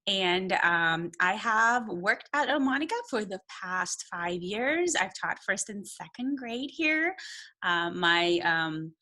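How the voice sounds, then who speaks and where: A middle-aged woman teacher with a Romanian accent giving an informal welcome talk, often pausing to search for words. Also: mid-range voice, animated, bright, forceful tone. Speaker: second grade teacher.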